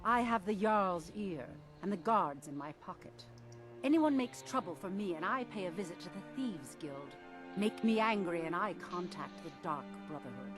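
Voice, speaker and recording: A haughty woman of power, played by an actress in a fantasy video game. Her delivery is imperious and condescending, with open menace. Music plays clearly behind her. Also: on the low side, expressive, normal speed, natural voice. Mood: cold, menacing. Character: haughty, threatening woman who runs the city.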